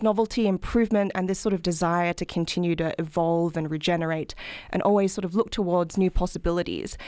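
Articulate Australian woman researcher, low-ish. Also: a little mellow, dry recording, clean studio sound. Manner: articulate, explanatory, frequent "sort of". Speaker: guest, healthcare management researcher. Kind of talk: interview answers.

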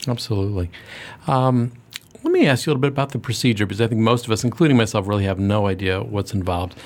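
Gravelly male radio interviewer. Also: lowish voice, quick pace. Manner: conversational, rephrases questions mid-sentence. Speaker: radio show host and interviewer.

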